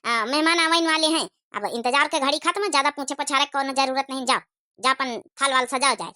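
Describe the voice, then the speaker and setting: A woman's voice: high voice, fairly quick, sharp tone. A woman voicing a bossy, fussing older village mother-in-law in a comic cartoon, with an Awadhi accent. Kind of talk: exaggerated cartoon comedy dialogue.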